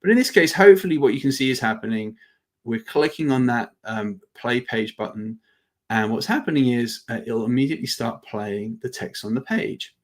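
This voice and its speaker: A man with a medium-pitched voice hosts a live software demo. He talks informally and explains step by step. His phrasing is halting, often doubling back on words. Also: expressive. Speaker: company presenter and webinar host.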